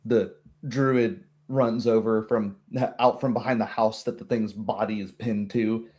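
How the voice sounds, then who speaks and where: A man with a lowish voice runs a tabletop roleplaying game. He improvises descriptive narration, building each scene piece by piece in halting phrases. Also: fairly even intonation, a little mellow. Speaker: game master.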